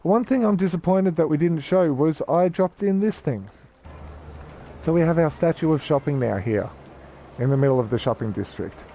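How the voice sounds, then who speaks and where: Croaky man. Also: fairly high pitch, normal speed, dark tone, dull audio, a little hiss or hum. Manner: chatty, rambling, self-correcting. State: croaky from a cold. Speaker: gaming YouTuber. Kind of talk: casual gameplay commentary.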